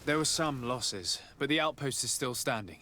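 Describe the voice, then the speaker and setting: A man voicing a polite, resolute noble envoy in a video game, speaking in a formal, measured way. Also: medium pitch, animated, bright, forceful tone, slight background noise. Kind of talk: video game cutscene acting.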